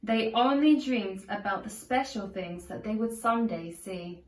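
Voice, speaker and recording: A woman narrating a children's storybook aloud in a measured, deliberate storytelling manner. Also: medium pitch. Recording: echoey room, distant from microphone.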